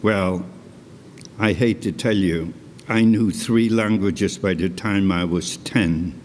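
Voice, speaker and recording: An older man born in Poland, speaking English, tells his own life story on stage to a live audience in a slow, deliberate way, with chatty asides. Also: low voice, darkish tone, slight background noise. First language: Polish. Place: concert hall stage. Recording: live hall recording with long reverb.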